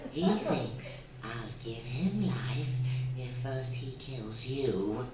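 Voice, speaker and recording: A woman playing a sinister, taunting undead female spirit in a radio drama, with a coaxing, theatrical, drawn-out delivery. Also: very deep voice, animated, full character voice. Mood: conspiratorial, coaxing. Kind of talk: stage radio drama acting. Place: theatre stage before a live audience. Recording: very echoey hall, distant, faint noise.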